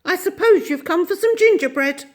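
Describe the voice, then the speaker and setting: A tiny ancient shopkeeper woman in a storybook, shrill and squeaky. She talks high and loud with wild swoops of pitch, sugary one moment and quietly menacing the next. Her temper is bossy and fickle. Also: lively intonation, normal speed. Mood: chatty. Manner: swings between chatty sweetness and soft menace.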